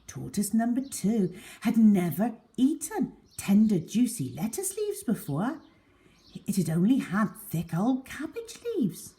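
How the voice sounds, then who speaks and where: A woman reading a children's story aloud as a storyteller, deliberate and dramatic in her delivery. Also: fairly low pitch, warm tone.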